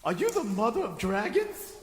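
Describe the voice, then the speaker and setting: Very high-pitched crazy captive wizard. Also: male voice, sing-song, normal speed. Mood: dramatic, ominous. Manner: theatrical, eccentric, teasing. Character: crazy skinny bearded captive wizard, eccentric last tribesman. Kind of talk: comedic audio drama acting. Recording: very echoey, long stone-cell reverb.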